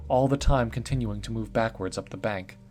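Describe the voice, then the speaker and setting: A man's voice: lowish voice, a little fast, a little mellow. Man reading a story aloud. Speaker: narrator.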